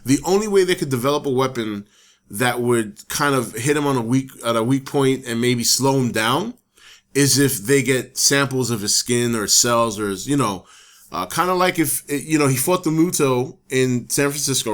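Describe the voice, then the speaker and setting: A man with a hoarse voice hosting his own talk show, thinking aloud in a casual, rambling way. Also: mid-range voice, normal speed. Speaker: host. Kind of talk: casual solo talk to camera.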